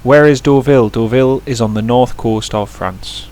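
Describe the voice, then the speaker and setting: A man's voice: medium pitch, normal speed, darkish tone. A man giving casual live-stream commentary over a flight simulator, chatting with viewers and often restarting his sentences. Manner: chatty, answers viewer chat, self-correcting restarts.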